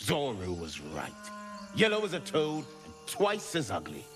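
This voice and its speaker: An actor playing a scornful, wary guard in a fantasy video game. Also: male voice, medium pitch, wildly swooping pitch, measured pace, over music. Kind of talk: video game character acting.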